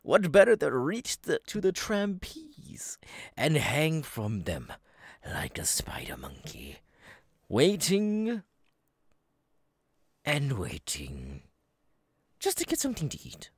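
A menacing, childlike circus clown monster for an ASMR audio roleplay. The voice is husky and creaking, drawn out extremely slowly. It lurches theatrically between breathy whispers and gleeful, cackling bursts. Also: male voice, on the high side, wildly swooping pitch, no echo, studio quality, full character voice. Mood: gleeful menace.

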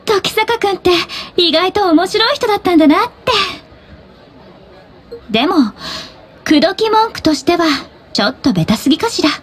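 Poised, high-voiced anime schoolgirl. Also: animated, normal speed. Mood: teasing. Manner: composed, politely playful, feminine phrasing. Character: poised, teasing teenage high school girl. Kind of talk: Japanese anime game voice acting.

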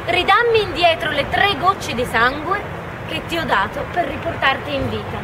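A woman's voice: high voice, lively intonation, moderate pace. A woman voicing an earnest, firm husband in a live fairy tale telling. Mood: cold, firm. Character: devoted young husband, earnest, later disillusioned. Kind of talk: live storytelling. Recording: distant mic, loud urban street noise.

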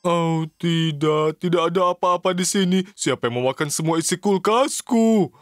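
A man voicing an excitable, stubborn little mustached character in a children's cartoon, with eager, theatrical delivery. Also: fairly high pitch, moderate pace, no room sound, close to an everyday voice. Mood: hungry, surprised. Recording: music behind the voice.

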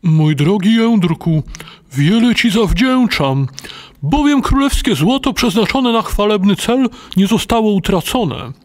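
Elderly noble knight, audio drama. Dignified, ceremonious, high-pitched for a man, richly melodic. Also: animated. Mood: solemn, grateful. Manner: measured, ceremonious storytelling. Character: grateful elderly Spanish knight, dignified and solemn. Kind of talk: dramatic audio play acting.

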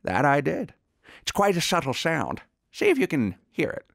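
A man's voice: on the high side, huge pitch swings, normal speed, vocal fry, heavy background noise, exaggerated voice. An actor playing a genteel, self-serious veteran Hollywood sound-effects artist in a comedy mock interview, savouring his words pompously. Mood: proud, earnest.

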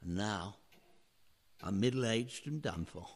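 An actor playing a wry, regretful middle-aged husband, a washed-up painter, in a theatrical radio play style. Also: male voice, fairly low pitch, expressive, slow. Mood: wistful, regretful. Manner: wistful, theatrical.

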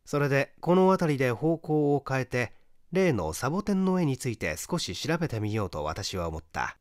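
A man reading a mystery story as a narrator, in a measured, dramatic literary storytelling manner. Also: medium pitch, no echo, studio quality. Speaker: audiobook narrator, stage actor.

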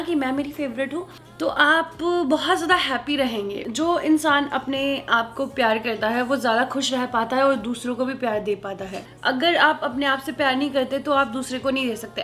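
A woman in her thirties with a Punjabi accent, talking to viewers in a casual home vlog, earnest and animated. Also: high-pitched. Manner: earnest, animated, often laughing. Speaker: vlogger. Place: home kitchen and living room, indoors. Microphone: camera on a tripod at mid distance.

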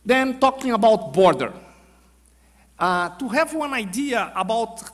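A Brazilian man in his fifties, a general, presenting at a podium in a large auditorium in halting English with a Brazilian Portuguese accent, full of fillers and restarts. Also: very high voice, animated, a bit bright. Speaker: panel speaker, army general. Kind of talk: conference presentation. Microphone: podium microphone. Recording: long hall reverb.

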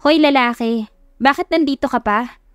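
A woman's voice: high voice, moderate pace, clear, resonant tone, no room sound, soft background music, voice pushed up, lightly acted. A woman voicing a feisty, suspicious young woman who works at an eatery, brash and teasing, in an audio love story.

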